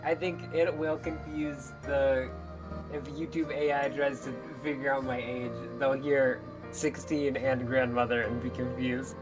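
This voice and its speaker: A woman's voice: rumbling low, little pitch movement, measured pace, music in the background. A young woman chatting casually as a stream guest, with a joking, teasing way of talking. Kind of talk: casual chat.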